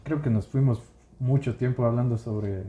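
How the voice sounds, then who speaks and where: A man's voice: mid-range voice, normal speed, reverberant, lo-fi sound. A man from Ecuador co-hosting a podcast, chatting casually and leading the talk with questions. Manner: relaxed, asks questions and leads the talk. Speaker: podcast co-host. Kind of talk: casual chat.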